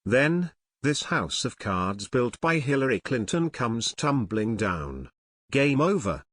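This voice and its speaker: A man's voice: medium pitch, very animated, takes it slowly, fairly bright tone, no echo, clean studio sound. A man reading scripted political commentary as a news narrator, with a dramatic, insinuating delivery.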